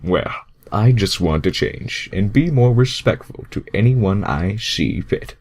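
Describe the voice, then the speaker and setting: A man voicing a smug, laid-back cartoon hedgehog who tries to sound mature, speaking slowly and mock-seriously. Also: lowish voice, expressive, a little mellow. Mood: earnest, composed. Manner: slow, deliberate, mock-serious.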